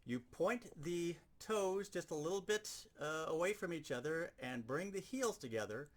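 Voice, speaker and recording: A man's voice: fairly high pitch, expressive, normal speed. A man giving an instructional demonstration as a sound effects teacher, explaining things step by step and repeating key points.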